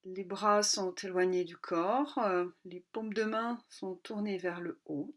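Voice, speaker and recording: A woman yoga nidra instructor leading a guided relaxation in a calm, unhurried way, pausing between short instructional phrases. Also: mid-range voice.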